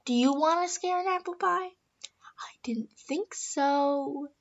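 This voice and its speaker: A teenage girl co-hosts an improv comedy podcast in a high voice. She rambles in a playful, theatrical way. The sound is dull and lo-fi. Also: slow pace. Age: teens. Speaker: podcast co-host.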